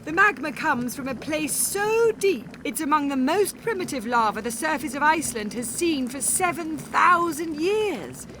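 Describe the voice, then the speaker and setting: A woman's voice: high voice, expressive, moderate pace, hardly any pauses, fairly bright tone, slight background noise. A woman playing a wry, exuberant Icelandic cafe owner and volcano guide, narrating in the first person with theatrical, confiding asides. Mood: exclaiming, awed. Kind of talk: dramatised first-person audiobook monologue.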